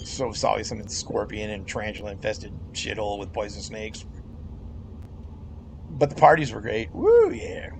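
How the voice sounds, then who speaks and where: A man's voice: lowish voice, sing-song, normal speed, gravelly, noisy background. A middle-aged man chatting casually over game voice chat, rambling, laughing often and swearing freely. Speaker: gamer in voice chat.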